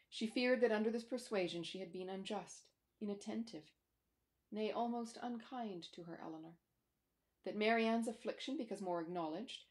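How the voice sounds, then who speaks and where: A woman in her forties narrates a classic novel with a mid-pitched voice. Her reading is formal and measured, in an audiobook style. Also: darkish tone. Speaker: author reading aloud. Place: small room at home by a window.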